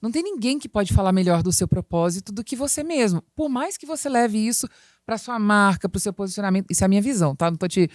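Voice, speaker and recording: A woman's voice: mid-range voice, lively intonation, quick pace, a little mellow, dry recording, clean studio sound. A woman hosting a business podcast, steering the talk and giving her opinion point by point. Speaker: podcast host. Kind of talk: casual business chat.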